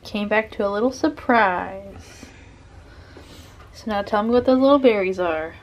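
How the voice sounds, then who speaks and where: A woman's voice: medium pitch, a little slow, lo-fi sound. A woman with a Southern US accent, chatting to the camera in a dry, teasing way while filming herself in a ship cabin at arm's length. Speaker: travel vlogger. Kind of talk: casual chat to camera. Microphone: phone or camera held at arm's length.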